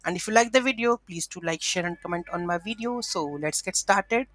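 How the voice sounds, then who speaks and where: A woman's voice: lowish voice, a little fast, fairly bright tone, dry recording. A woman hosting an informal coding tutorial, talking through each step aloud as she works. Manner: instructional, thinking aloud step by step. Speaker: tutorial host.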